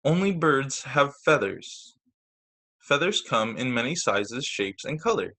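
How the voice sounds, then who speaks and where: A man teaching young children through a read-aloud storytime on a video call, with expressive, deliberate phrasing. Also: medium pitch. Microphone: video call microphone.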